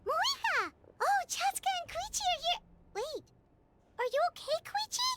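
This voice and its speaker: Tiny, extremely squeaky fairy companion. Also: female voice, big pitch swings, moderate pace, bright, forceful tone, heavily acted. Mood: worried surprise. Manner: squeaky, exclamatory. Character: tiny cheerful floating fairy companion, childlike girl. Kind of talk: video game voice acting.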